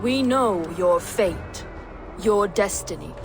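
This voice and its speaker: Slow, grave Norn seer. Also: female voice, medium pitch, animated, creaky, over music. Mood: ominous. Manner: slow, oracular. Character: grave female Norn seer foretelling doom. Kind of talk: dramatic video game acting.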